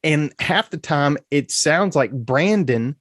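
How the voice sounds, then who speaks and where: A man hosting a casual sports podcast, his voice slightly congested, talking things through in a relaxed way. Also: on the high side, slow, no room sound, studio quality. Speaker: podcast host.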